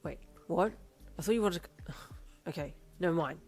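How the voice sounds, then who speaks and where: A woman's voice: fairly low pitch, normal speed, a little mellow, breathy edge. A woman voicing a young man who teases his partner, then tries to placate her in confusion. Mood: bewildered. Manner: laughing, placating, bewildered.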